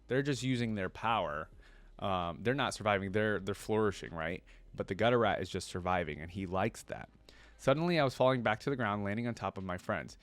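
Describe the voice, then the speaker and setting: A man's voice: lowish voice, dry recording. A man hosting a reaction video, reading a story aloud and commenting casually as a fan. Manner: informal slangy fan talk.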